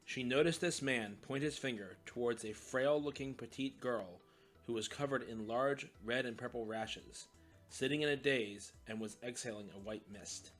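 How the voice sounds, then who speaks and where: A man narrates a scripted story in a medium-pitched voice. Music sits behind the narration. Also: normal speed, a bit bright.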